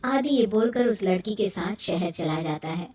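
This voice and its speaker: A woman's voice: medium pitch, lively intonation, normal speed, a little mellow. A woman reading scripted narration as the storyteller of a moral tale. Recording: roomy echo, distant from mic.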